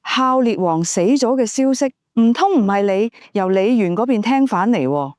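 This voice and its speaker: A woman's voice: fairly high pitch, lively intonation, normal speed, darkish tone, no echo, clean studio sound, lightly acted. A woman voicing an upright, wary male hero in an ancient-China audiobook, formal and earnest. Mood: startled.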